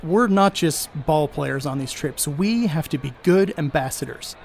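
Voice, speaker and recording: A man plays a hard-living, jovial sports star in a period radio play. His voice sits fairly high and he talks in boastful, folksy slang. A faint outdoor traffic noise runs behind him. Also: expressive, measured pace, gravelly. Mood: genial, proud. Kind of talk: audio drama acting, 1930s setting.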